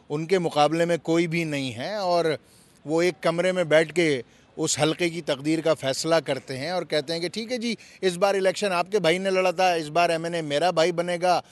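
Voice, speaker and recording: Pakistani male, 50s, high-pitched, emphatic and rhetorical interviewee, handheld mic outdoors. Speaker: interviewed politician. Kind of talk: political interview, emphatic argument. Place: outdoors, parliament forecourt. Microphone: handheld interview microphone.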